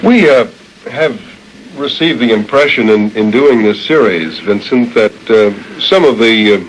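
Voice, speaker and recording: A man's voice: lowish voice, expressive, unhurried, poor audio. A man hosting a radio interview in a conversational style. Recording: old radio tape, faint hiss.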